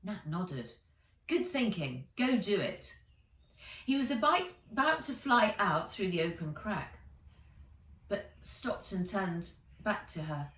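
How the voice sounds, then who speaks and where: A woman author reading her children's book aloud as a storyteller, in measured, expressive narration. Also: medium pitch. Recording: roomy echo, distant microphone.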